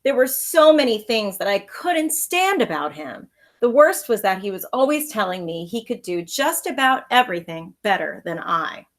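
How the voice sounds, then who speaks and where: A woman teacher reading a children's story aloud with expressive narration, recorded at home. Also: a little high, moderate pace.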